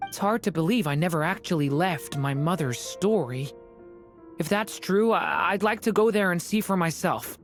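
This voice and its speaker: A woman voicing a gentle young female created being in a video game, speaking softly and haltingly as she searches for herself. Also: fairly low pitch, expressive, soft background music, lightly acted. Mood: resolved. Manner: soft, halting, wondering.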